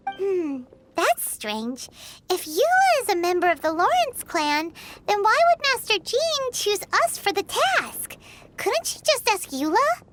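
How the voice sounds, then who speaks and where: A woman voicing a small, chatty floating companion creature who talks in a squeaky, excitable, blunt way in a game cutscene. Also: a little slow, noisy background, heavily acted. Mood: puzzled. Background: game music.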